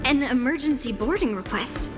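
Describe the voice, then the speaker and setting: A woman's voice: high-pitched, animated, normal speed. A woman voicing a confident young swordswoman in a video game, speaking with youthful, composed command. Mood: decisive. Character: confident young swordswoman, mentor to a nervous girl. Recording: long hall reverb over game music.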